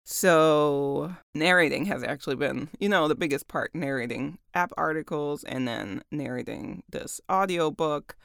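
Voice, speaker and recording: A woman voice actor with a deep voice, chatting casually about her work, laughing often and using lots of fillers. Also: unhurried, gravelly, few pauses, fairly bright tone, dry recording, clean studio sound. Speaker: voice actor and audiobook narrator.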